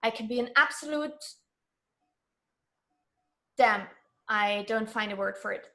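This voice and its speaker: A woman with a fairly high voice is being interviewed. She talks conversationally and earnestly, leaning on key words to press her point. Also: a little slow, creaky, a bit bright. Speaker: interview guest.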